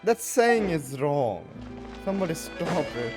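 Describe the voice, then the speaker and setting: Low-voiced woman gaming casually. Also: sing-song, measured pace. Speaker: streamer reacting to a game. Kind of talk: casual gameplay commentary. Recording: game music behind the voice.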